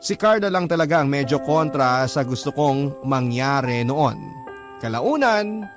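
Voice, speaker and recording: A man's voice: mid-range voice, animated, a little slow, lightly acted. A man narrating as a reflective young letter-writer over music. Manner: reflective, storytelling. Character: young man recounting his love story to the radio host. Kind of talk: first-person scripted narration. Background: clear background music.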